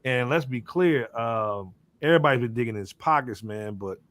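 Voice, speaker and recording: A man's voice: medium pitch, animated, moderate pace, a bit bright, no room sound. An American man hosting a music podcast with casual, slangy hip hop talk, repeating phrases for emphasis. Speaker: host of a music podcast panel.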